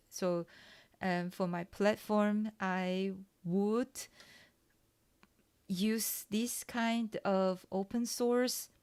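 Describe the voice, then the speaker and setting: A woman, a Mandarin speaker, talking softly and hesitantly through a coding tutorial with many fillers and pauses. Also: mid-range voice, clean tone. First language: Taiwanese Mandarin. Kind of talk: soft-spoken ASMR coding walkthrough.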